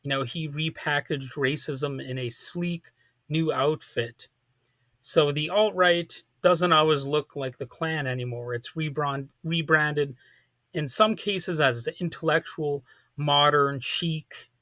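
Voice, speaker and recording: A man delivering a partly scripted political commentary monologue, speaking emphatically with deliberate phrasing. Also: fairly high pitch, pushed and bright, dry recording, studio quality.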